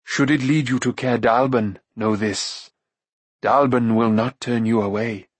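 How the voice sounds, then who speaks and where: A man's voice: medium pitch, fairly even intonation, slightly rough, no room sound. Man voicing a grave, noble warrior prince. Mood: solemn, gentle. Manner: measured, solemn, gentle.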